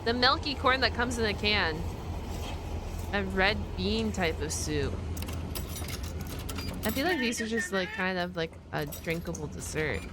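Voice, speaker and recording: A woman doing casual, chatty reaction commentary, exclaiming and thinking out loud in an informal way. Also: mid-range voice, animated, unhurried, long pauses, heavy background noise. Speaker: reaction video streamer and host.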